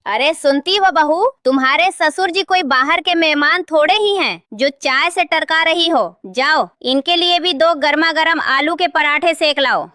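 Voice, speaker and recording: A woman's voice: high-pitched, measured pace, hardly any pauses, bright, forceful tone, no room sound, studio quality. Woman doing a bossy, scolding mother-in-law. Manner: domineering, scolding. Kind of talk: cartoon story dubbing.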